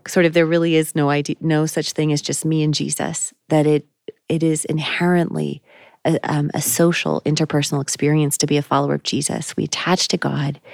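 A woman's voice: sits low, vocal fry, a little mellow, no echo, clean studio sound. A woman co-hosting a faith podcast, talking in a thoughtful, searching way with frequent ums. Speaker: podcast co-host. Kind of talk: reflective faith conversation.

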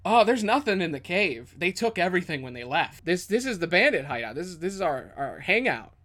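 A man plays a scrawny kobold thief girl with a high-pitched voice. She is nervous and chatty, stumbles over words, and talks like a casual small-time crook angling for a deal in a tabletop fantasy game. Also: very animated, fairly quick, a bit bright, heavily acted. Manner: stammering, casual, eager to bargain.

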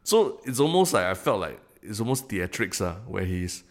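A man with a Singaporean accent on a podcast, building his points slowly, often restarting words. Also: fairly low pitch, big pitch swings. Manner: thoughtful, repeats words while building a point. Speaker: podcast co-host. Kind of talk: casual podcast chat.

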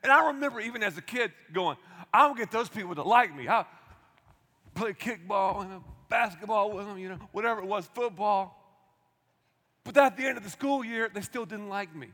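A man, preaching a sermon in an energetic, conversational way with asides to the congregation. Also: sits high, a little fast. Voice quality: loud voice. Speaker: pastor. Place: large church auditorium. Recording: long hall reverb.

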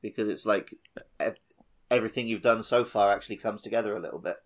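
Low-voiced man on a casual podcast. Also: fast talker. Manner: informal, colloquial. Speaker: podcast co-host. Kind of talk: casual gaming podcast chat.

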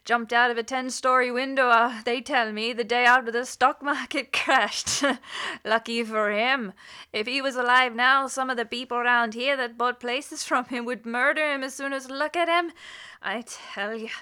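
A woman's voice: fairly high pitch, fairly even intonation, moderate pace, sharp tone, clean tone. An actress playing a chatty, nosy policewoman neighbor in a radio play, with a folksy, garrulous manner. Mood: friendly, chatty. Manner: folksy, garrulous, colloquial, chuckling.

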